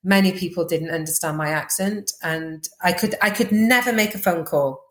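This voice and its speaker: A woman with a Welsh accent, chatting quickly as a podcast guest, full of fillers and restarts. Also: fairly low pitch, a bit bright, reverberant. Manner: fast-talking, chatty, lots of fillers. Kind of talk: casual interview chat.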